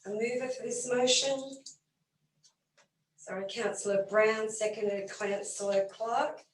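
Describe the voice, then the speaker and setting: A woman chairs a council meeting as mayor, moving through motions and votes in a brisk, businesslike way. Her slightly higher voice is a bit croaky. She sounds set back from the mic in an echoey room, with faint noise underneath. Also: steady tone, distant mic. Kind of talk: formal meeting procedure.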